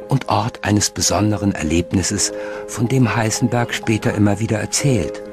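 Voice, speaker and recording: A man delivers scripted documentary narration in a measured way. His voice sits a little low and he explains things clearly and in order. Soft music runs underneath.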